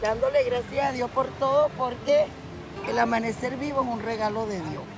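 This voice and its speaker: A man's voice: extremely high pitch, moderate pace, creaky, over music. An older man from Venezuela, a beach vendor who talks about his work and thanks God often. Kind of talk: street interview. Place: outdoors near a beach.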